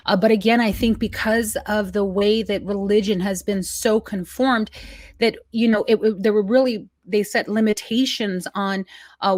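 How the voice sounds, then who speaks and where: A woman in casual, unscripted talk, explaining her view haltingly as she searches for words. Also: medium pitch, no room sound.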